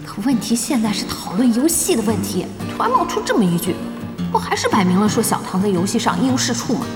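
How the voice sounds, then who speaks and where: Brash young boss lady, lively swoopy voice, sharp tongue, music behind, audio drama. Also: a little high, fast talker. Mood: flustered, exasperated. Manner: animated exclaiming, teasing, blunt.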